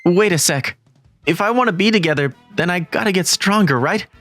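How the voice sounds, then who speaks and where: An actor playing an earnest, eager teenage schoolboy who narrates and leads a manga voice-over. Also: male voice, high-pitched, expressive, a little fast, a bit bright, soft background music. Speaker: narrator and lead.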